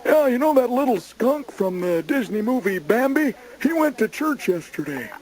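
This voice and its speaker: A man's voice: very high-pitched, big pitch swings, normal speed, darkish tone. A man voicing a cheerful old grandpa who tells corny jokes on a children's radio show. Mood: corny, chipper. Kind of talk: children's morning radio comedy bit. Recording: noisy live radio aircheck.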